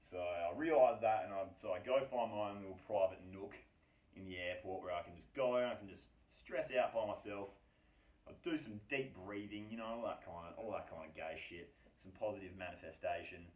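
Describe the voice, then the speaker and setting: A man's voice: low-pitched, a little fast, darkish tone. A man casually telling a story about himself in a slangy, sweary, wry and self-mocking way. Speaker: storyteller, host of his own story series. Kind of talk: casual first-person storytelling. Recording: echoey room, distant from mic.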